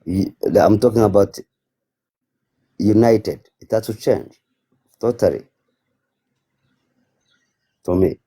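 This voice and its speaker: Very dark, low-voiced man. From Africa. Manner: halting, searching for words, non-fluent phrasing. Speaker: caller on a live stream. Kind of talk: casual, unscripted political talk.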